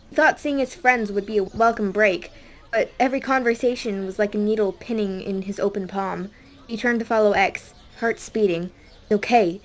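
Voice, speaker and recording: A woman reading a scripted audiobook story as a dramatic narrator. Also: medium pitch.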